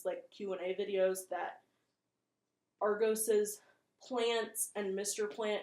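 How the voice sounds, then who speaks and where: A woman's voice: medium pitch, a little mellow. A woman giving casual video commentary as a host, chatty, rambling and self-deprecating. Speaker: host of a horror video channel. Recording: roomy, echoing room sound.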